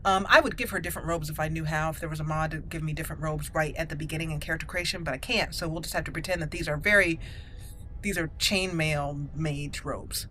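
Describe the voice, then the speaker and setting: A woman's voice: low-pitched, fairly even intonation, quick pace, fairly bright tone. A woman hosting a let's play, chatting casually and playfully as she comments on the gameplay. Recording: faint background noise.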